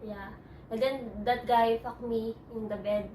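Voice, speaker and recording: A Filipino woman with a Tagalog-native accent, speaking English in a halting, hesitant way with many restarts in a personal interview. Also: fairly high pitch, steady tone, mellow, slight background noise. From Philippines. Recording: echoey room, distant mic.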